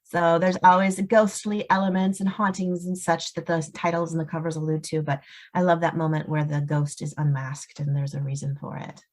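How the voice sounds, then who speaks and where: Low-pitched woman, podcast guest. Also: flat delivery, normal speed, few pauses. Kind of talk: casual interview chat.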